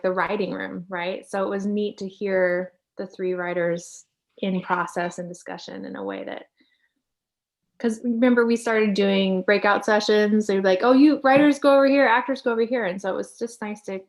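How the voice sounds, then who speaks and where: A woman with a medium-pitched voice talks casually. Her delivery is loose and conversational, wandering from one phrase into the next as she reminisces.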